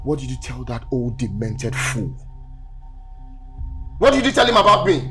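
A man's voice: mid-range voice, sing-song, normal speed, over music, lightly acted. A man playing a cruel, hot-tempered young businessman and abusive husband in a film drama. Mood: mocking anger. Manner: contemptuous, repeats phrases mockingly, menacing.

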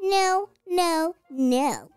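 A woman voicing a loud, stubborn little boy in a storybook, yelling with drawn-out emphasis. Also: very high voice, animated, dry recording, soft background music, pitched above the performer's own voice, full character voice. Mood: stubborn refusal.

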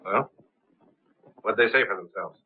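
An actor playing a sly, scheming gang boss in a 1930s film. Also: male voice, on the low side, measured pace, husky. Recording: tinny, band-limited 1930s film soundtrack.

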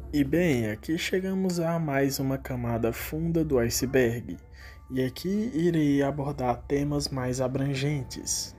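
A man's voice: on the high side, a little mellow. A Brazilian man hosting an online video, narrating an informal video essay on horror series. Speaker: YouTube host.

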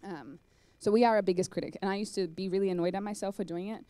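A woman's voice: mid-range voice, fast, clear, resonant tone. A young woman on stage with a handheld mic, giving a chatty conference talk in a cinema hall and answering questions. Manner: chatty, animated, lots of "like" and "um". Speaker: conference speaker. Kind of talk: live talk and Q&A.

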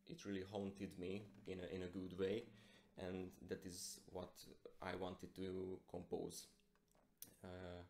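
Young man, Hungarian accent, deep pitch, halting delivery, musician's concert introduction, echoey indoor recording. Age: young adult. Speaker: classical guitarist and composer. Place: plain indoor room. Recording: echoey small room.

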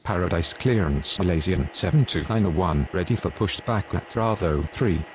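A man's voice: low-pitched, expressive, normal speed, a little hiss or hum. A man voicing a businesslike airport radio operator in a simulator game, reading scripted aviation calls in a clipped, procedural way. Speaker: pilot and air traffic controller radio voice.

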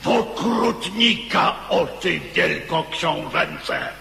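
A man's voice: on the high side, lively intonation, drawn-out words, creaky, exaggerated voice. An actor playing a proud, fierce warrior king in a declamatory theatrical radio drama. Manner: declamatory, forceful. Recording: echoey hall with long reverb.

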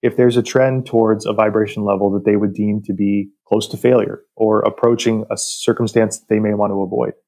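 A man with a relaxed, mellow voice sits a little low in pitch. He talks as a technical guest in an interview, laying out industrial detail in a measured, explanatory way. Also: vocal fry. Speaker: technical director, podcast guest.

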